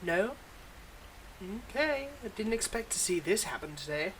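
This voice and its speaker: A man's voice: high voice, big pitch swings, moderate pace, fairly bright tone, a little hiss or hum, rough recording. A man playing a weary, wry supervillain with a secretly kind side, talking conversationally and a little hesitantly in an intimate roleplay audio monologue. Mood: surprised, hopeful.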